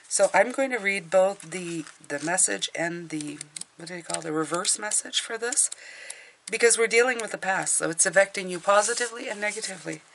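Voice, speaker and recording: A middle-aged woman with a hoarse voice from a cold, chatting casually through a tarot reading with fillers and restarts. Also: mid-range voice, moderate pace, a bit bright, slight background noise, rough recording. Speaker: tarot reader.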